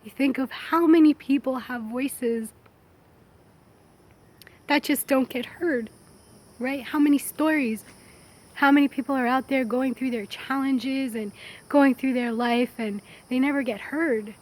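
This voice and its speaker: A woman's voice: high voice, measured pace, darkish tone. A woman in her thirties talking candidly and casually, rambling a little as she thinks aloud in a personal, reflective vlog. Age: 30s. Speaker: vlogger. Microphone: phone.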